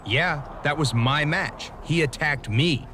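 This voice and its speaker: A man's voice: fairly high pitch, takes it slowly, bright, forceful tone, slight background noise. A man playing a serious, weary pro wrestler in a game cutscene, talking dryly as the exasperated straight man. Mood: weary, irritated. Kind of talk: scripted video game cutscene dialogue.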